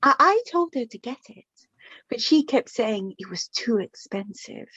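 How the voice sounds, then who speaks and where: A woman's voice: fairly high pitch, animated, fairly bright tone, breathy edge, no room sound, full character voice. An actress playing a chatty, affectionate middle-aged mother of the bride, slightly flustered and hesitant, in a radio play. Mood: fond, chatty. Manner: hesitant, halting, chatty, with small nervous repetitions. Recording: dull lo-fi, slightly muffled recording.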